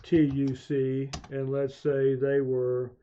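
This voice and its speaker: A man giving an unscripted screencast tutorial, haltingly thinking aloud as he demonstrates software. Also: medium pitch, monotone, slight background noise. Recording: dull, lo-fi voice recording.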